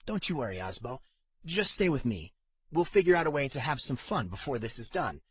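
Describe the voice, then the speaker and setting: A man's voice: mid-range voice, very animated, brisk, a bit bright, husky, no room sound, pitched above the performer's own voice. A man voicing a cheeky, loyal young stable lad in audiobook dialogue, with casual, colloquial talk. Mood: reassuring, teasing.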